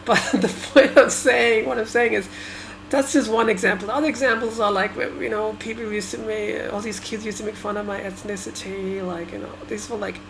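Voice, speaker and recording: A woman from Sri Lanka whose first language is Tamil, talking through her thoughts in a halting, hesitant way with many restarts. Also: mid-range voice, noisy background. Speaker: vlogger. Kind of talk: personal reflective monologue.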